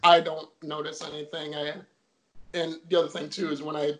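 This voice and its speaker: A man's voice: a little high, flat delivery, moderate pace, rough recording. A young man from Jamaica, a guest in a casual interview, telling his story chattily with many fillers.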